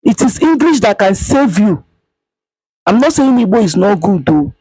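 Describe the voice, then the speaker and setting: A Nigerian woman speaking to camera outdoors, keeping her voice low as she scolds her viewers with emphatic repetition. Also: very animated, moderate pace, breathy edge, no room sound, low-quality recording. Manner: speaking quietly. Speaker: vlogger. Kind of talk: impassioned monologue to camera.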